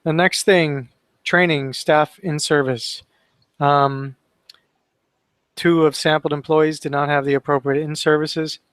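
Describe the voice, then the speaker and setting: Male webinar co-host, casual delivery, higher register, dry close recording. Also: fairly even intonation, unhurried, a bit bright, clear, resonant tone, dry recording. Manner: conversational, reads citations aloud with fillers. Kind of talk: casual training discussion.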